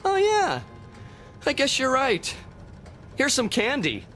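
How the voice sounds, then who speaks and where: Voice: high-pitched, huge pitch swings, takes it slowly, fairly bright tone, exaggerated voice. An actor playing a jittery, neurotic sea townsperson in a cartoon video game, with a blunt, exasperated delivery. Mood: nervous. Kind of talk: animated video game voice acting. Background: game music.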